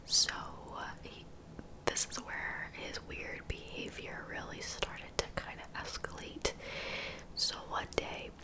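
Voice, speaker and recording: A woman whispering softly and informally in a breathy ASMR storytelling style, taking her time. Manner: soft, unhurried, informal. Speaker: ASMR host narrating true crime.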